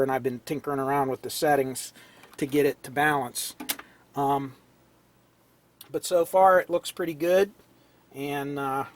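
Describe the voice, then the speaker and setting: A man with a hoarse, fading voice, casually explaining an old radio repair at an electronics workbench, clearing his throat now and then. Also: fairly high pitch, no room sound. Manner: relaxed, talks while tinkering, frequent throat clearing. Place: cluttered electronics workbench indoors.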